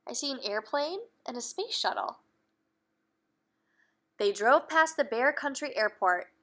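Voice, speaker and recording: A woman reading a storybook aloud to young children, expressively, pausing to put questions to her listeners. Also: high voice, expressive, a bit bright. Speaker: children's read-aloud host, speech-language teacher.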